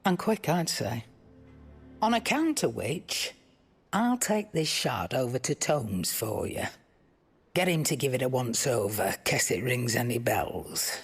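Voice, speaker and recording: A woman's voice: a bass voice, sing-song, a little slow, slightly rough, soft background music, full character voice. An actress playing a blunt, shrewd woman trader in a fantasy video game, speaking plainly with a folksy Northern English accent. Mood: brisk. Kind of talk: video game dramatic dialogue.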